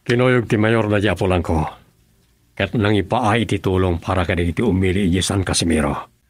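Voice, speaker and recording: Man doing a serious aide, low voice, steady matter-of-fact delivery, dry recording, radio play. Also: normal speed, no room sound. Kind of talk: radio drama acting.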